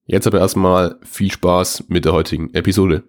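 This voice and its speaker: A man hosting a business podcast, relaxed and chatty, speaking while he has a slight cold and leaning on filler sounds as he asks questions. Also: deep voice, moderate pace. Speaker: podcast host. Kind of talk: casual interview hosting.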